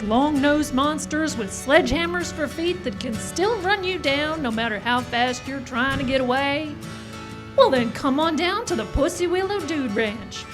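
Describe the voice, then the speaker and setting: A woman playing a folksy, eager cowgirl who delivers a salesy old-time radio commercial parody for a dude ranch. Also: high voice. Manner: salesy, exaggerated western patter. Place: outdoor park stage. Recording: live outdoor show with music behind.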